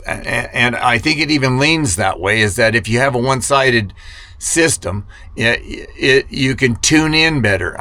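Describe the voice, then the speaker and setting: A man's voice: mid-range voice, sharp tone. A man chatting casually and hesitantly to viewers as a hobbyist vlogger, often wandering off mid-thought. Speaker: vintage radio hobbyist vlogger. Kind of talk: rambling casual product chat to camera.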